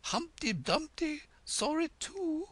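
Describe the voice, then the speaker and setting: Reader playing high-pitched, soft, scatterbrained storybook queen, rambling. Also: big pitch swings, slow pace, full character voice. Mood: anxious. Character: fretful muddled queen, gentle and anxious.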